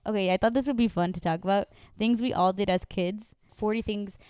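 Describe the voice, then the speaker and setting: A young woman in her twenties chats on a podcast with a smooth, resonant voice. She talks in a bubbly, rambling way, full of "like". Her voice is close and dry, with no room sound. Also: medium pitch, a little fast, a little mellow, no echo. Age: 20s. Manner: bubbly, chatty, many "like" fillers. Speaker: podcast co-host. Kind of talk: casual chat.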